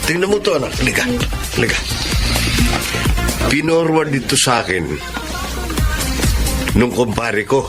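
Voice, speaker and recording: A man's voice: medium pitch, sing-song, unhurried, long pauses, over loud music. A man playing a pushy, jolly middle-aged Filipino father in a radio drama, with a Filipino accent. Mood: eager, teasing.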